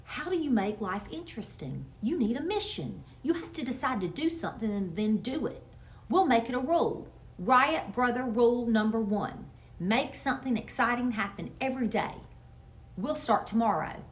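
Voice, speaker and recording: A woman's voice: on the high side, expressive, normal speed, natural voice. A woman voicing a mischievous young boy with big schemes in an emphatic, theatrical storybook manner. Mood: scheming, excited.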